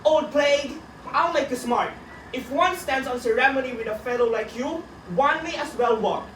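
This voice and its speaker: Woman acting loud, brusque, scolding passenger. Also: sits high, moderate pace, voice pushed up. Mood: scolding, angry. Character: brusque, impatient sledge passenger ordering the driver about. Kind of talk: radio drama acting. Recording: echoey, distant, very noisy.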